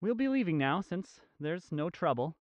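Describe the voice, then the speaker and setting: A man's voice: a little high, big pitch swings, moderate pace, dry recording, highs cut off. A man playing a polite, dutiful police officer in a dramatic manga dub, courteous and protective. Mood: polite suspicion. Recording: music behind the voice.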